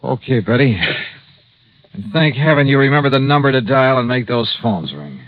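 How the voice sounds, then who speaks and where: A man's voice: mid-range voice, lively intonation, moderate pace, dry recording, close to an everyday voice. A man playing a wry, cool-headed insurance investigator in a 1950s radio drama, clipped and self-assured. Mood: relieved. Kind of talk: 1950s radio detective acting. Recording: muffled vintage radio recording.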